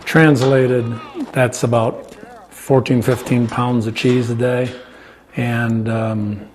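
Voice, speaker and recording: An older American man explaining his work informally and step by step, in a halting manner. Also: mid-range voice, flat delivery. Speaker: farm owner and cheese maker. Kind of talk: informal explanation while demonstrating. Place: indoors in a small farm creamery. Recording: clear background noise, working creamery room.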